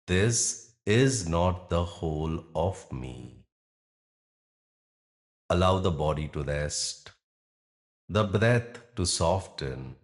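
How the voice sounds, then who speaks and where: A man with a deep voice leads a guided meditation as a yoga nidra teacher. He speaks very slowly, giving gentle instructions in short phrases. Long pauses separate his words.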